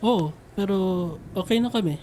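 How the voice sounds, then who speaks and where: A man's voice: high-pitched, normal speed, a little mellow. An actor playing a chatty, easygoing young man in love in a radio drama. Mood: awkward. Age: young adult. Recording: music behind the dialogue.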